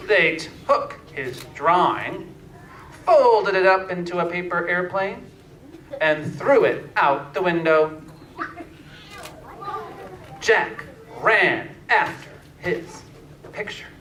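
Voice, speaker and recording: A man narrating a scripted fairytale slowly and deliberately, with pauses, as a storyteller. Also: sits high, animated, bright, forceful tone. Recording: echoey room, distant mic, faint noise.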